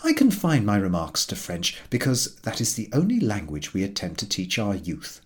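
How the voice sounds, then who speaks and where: A man narrating a comic literary story in a formal, measured and expressive storytelling manner. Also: fairly low pitch. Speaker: audiobook narrator.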